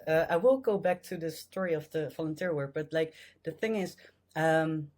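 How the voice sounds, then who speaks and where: A man in his thirties with a Dutch accent, a guest in a casual interview, speaking English as a second language with halting, hesitant phrasing. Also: on the high side, a little mellow. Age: 30s. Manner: hesitant, many fillers and restarts.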